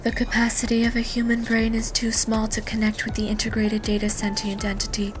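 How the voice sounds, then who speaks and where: A woman plays an emotionless alien girl in an audio drama. Her voice is soft and rather high, with a very flat, clinical delivery. Music sits clearly behind her. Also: brisk, natural voice. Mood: detached, flat. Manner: deadpan, clinical. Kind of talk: audio drama character acting. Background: music under the voice.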